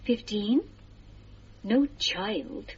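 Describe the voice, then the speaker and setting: A woman's voice: mid-range voice, lo-fi sound, a little hiss or hum. A woman in a radio drama playing a devoted, coy eighteenth-century servant girl of fifteen in a trance, speaking deferentially. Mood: teasing. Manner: deferential, slow, coquettish.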